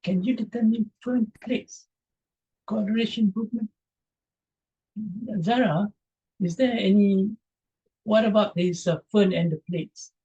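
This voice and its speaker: A man from Malaysia answers audience questions over a video call, repeating each question aloud and hedging with fillers. His voice is mellow and a little husky. The call audio sounds dull and lo-fi. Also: sits high, steady tone, unhurried, pauses often. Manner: reads out chat questions, answers with hesitant fillers. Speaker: presenter. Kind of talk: Q&A after an online talk.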